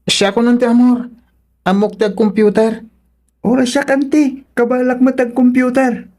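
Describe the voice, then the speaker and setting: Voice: medium pitch, flat delivery, normal speed, warm tone, natural voice. A performer with an Ilocano accent playing an eager, respectful young person from the provinces in a radio drama. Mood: excited, grateful. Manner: polite, eager to please. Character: eager, respectful provincial youth, polite to elders.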